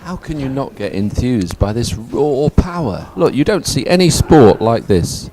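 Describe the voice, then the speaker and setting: A man's voice: medium pitch, big pitch swings, a little slow, mellow, slight background noise. A man co-hosting live race commentary and reading out results, talking in an enthusiastic, wordy way. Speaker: sports commentator, co-host.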